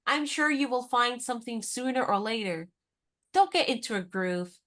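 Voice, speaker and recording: A woman playing a plucky, independent American in her twenties in a radio comedy, speaking in a brisk, forthright, encouraging way. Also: on the high side, animated, fairly bright tone. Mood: brisk, urging. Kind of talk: radio play comedy dialogue.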